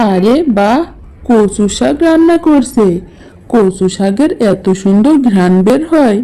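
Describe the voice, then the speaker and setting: A woman's voice: a little high, warm tone, slight background noise, low-quality recording. A woman playing a sheepish, food-loving village husband in a cartoon folk tale, muttering and exclaiming to himself. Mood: tempted.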